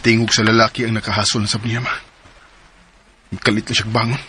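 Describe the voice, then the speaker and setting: An actor playing a devoted, worried young man in love in a Philippine radio drama, earnest and halting, with a Cebuano Filipino accent. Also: fairly low pitch, level tone, background noise, natural voice. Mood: gently pleading.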